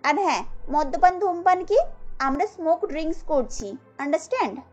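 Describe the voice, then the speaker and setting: A woman's voice: high voice, animated, normal speed, a little mellow. A woman voicing a spoiled, condescending young city daughter-in-law who snaps and drops English words in a cartoon. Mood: scornful, irritated. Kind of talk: cartoon story dialogue. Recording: dull lo-fi audio with music bed.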